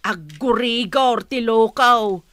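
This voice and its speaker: A woman's voice: fairly high pitch, slow, fairly bright tone, no echo. An actress with an Ilocano accent playing a nosy, outspoken, fretful middle-aged mother in a radio drama. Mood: worried, indignant.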